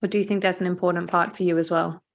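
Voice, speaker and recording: A woman's voice: lowish voice, level tone, racing. A woman interviewing a guest as podcast host, affirming what is said and phrasing her questions thoughtfully and a little tentatively. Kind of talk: conversational interview.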